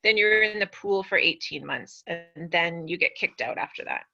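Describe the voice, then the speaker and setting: A woman's voice: on the low side, moderate pace, creaky, bright, forceful tone. A woman giving casual, unscripted answers on a panel. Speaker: air traffic controller, panelist. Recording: dull lo-fi webinar audio.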